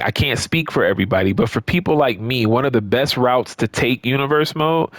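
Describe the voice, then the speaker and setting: A man's voice: mid-range voice, no room sound. A man with an American accent giving casual, unscripted opinions as a gaming creator, conversational and repeating points for emphasis.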